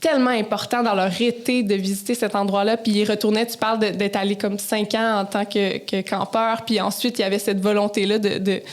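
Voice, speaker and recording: A woman's voice: mid-range voice, level tone, fairly quick, hardly any pauses. A woman with a Quebec French accent, hosting a podcast in a casual, conversational interview style.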